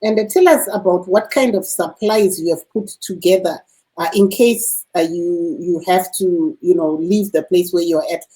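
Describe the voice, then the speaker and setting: Mellow-voiced female radio interviewer. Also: fairly low pitch, low-quality recording. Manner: measured questioning with uh fillers. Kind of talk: broadcast interview.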